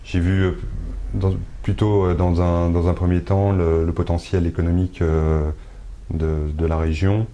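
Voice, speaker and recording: Very low, flat-voiced hesitant man. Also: highs cut off, slight background noise. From Brittany, France. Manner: hesitant, many filler pauses and restarts. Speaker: shop owner interviewee. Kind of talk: unscripted interview answers.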